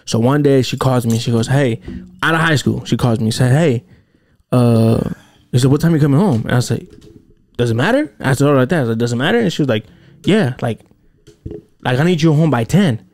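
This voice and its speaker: A man's voice: medium pitch, a little fast, pauses often. A man in his thirties co-hosting a casual podcast, telling stories animatedly with plenty of swearing, into a studio mic in a small home studio. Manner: animated storyteller, heavy swearing. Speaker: podcast co-host. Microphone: boom-arm studio mic with headphones.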